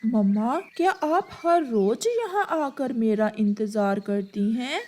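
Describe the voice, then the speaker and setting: A woman's voice: fairly high pitch, expressive, slow, a little mellow, voice pushed down. A woman voicing an anxious, apologetic young male bird, a devoted son, in a cartoon story. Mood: hesitant. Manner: apologetic, hesitant.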